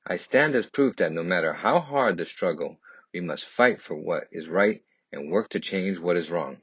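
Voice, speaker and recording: A man reads a children's picture book aloud in a husky voice, with expressive, emphatic and dramatic phrasing. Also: sits low, normal speed. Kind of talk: expressive read-aloud storytelling. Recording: dull, lo-fi, echoey room.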